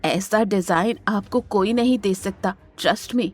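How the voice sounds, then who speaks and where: A woman's voice: medium pitch, takes it slowly, soft background music. A woman playing a stern, authoritative elderly matriarch and businesswoman in an audio drama, firm and insistent. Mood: insistent, pleading. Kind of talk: Hindi audio drama acting.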